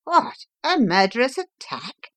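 A woman's voice: a little high, big pitch swings, measured pace, voice pushed up. A woman voicing a courteous male doctor in a storybook, concerned, firm and professional. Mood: shocked.

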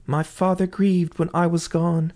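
Casting: a man doing an eerie fairy-tale songbird, chanting high and sing-song. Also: level tone, a little slow, a little mellow, close to an everyday voice. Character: magical songbird, eerie and accusing.

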